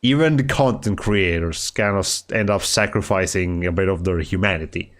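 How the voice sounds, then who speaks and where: A man speaking as a streamer, talking in a soft-spoken, halting way with many fillers and restarts, and sounding slightly nasal as he recovers from a cold. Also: low voice. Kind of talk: reflective casual monologue.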